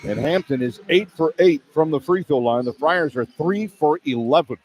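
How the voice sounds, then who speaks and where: A man's voice: on the high side, lively intonation, moderate pace, darkish tone, rough recording. A man working as a radio play-by-play announcer, calling a live basketball game from an indoor arena. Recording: clear arena ambience noise. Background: arena ambience.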